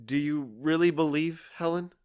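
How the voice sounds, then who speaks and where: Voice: medium pitch, steady tone, moderate pace, darkish tone, clear, resonant tone, natural voice. A performer playing a stoic, hurt husband in an audio drama, speaking in a restrained, terse, cool way. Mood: guarded. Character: stoic, guarded husband, hurt but withholding. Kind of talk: theatrical audio drama acting.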